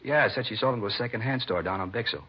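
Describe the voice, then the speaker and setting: A man's voice: on the low side, fast talker, faint music behind, close to an everyday voice. An actor playing a talkative, defensive American man under police questioning, conversational in a 1950s radio drama style. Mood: eager, explaining. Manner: conversational, indignant when pressed. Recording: dull vintage radio recording.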